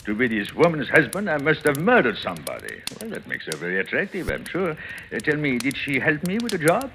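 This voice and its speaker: An actor playing a smug, slippery swindler with a suave, sardonic, theatrical manner in an old-time radio drama. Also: male voice, medium pitch, wildly swooping pitch, a little fast, sharp tone, a little hiss or hum, heavily acted. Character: smug, slippery male swindler, glib and evasive. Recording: vintage radio recording with faint music.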